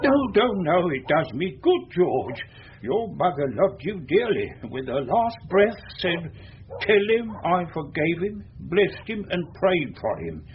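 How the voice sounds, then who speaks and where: An actor playing a humble, grieving father of eighty-seven, halting and deferential, in an old radio drama. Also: fairly high pitch, very animated. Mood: tender, grieving. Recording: muffled noisy old radio recording.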